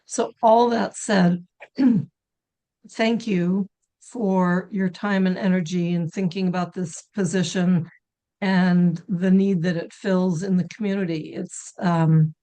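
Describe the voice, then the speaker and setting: A woman chairing a town committee meeting on a video call, polite and brisk as she calls on applicants. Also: fairly low pitch, fairly even intonation, warm tone. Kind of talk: running a public meeting.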